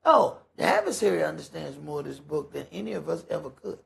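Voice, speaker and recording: A man with a gravelly, husky voice leads a Bible study in conversational sermon style, emphatic and folksy, often posing rhetorical questions. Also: a little high, big pitch swings, quick pace. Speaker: preacher leading a Bible study. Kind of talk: conversational sermon with scripture commentary.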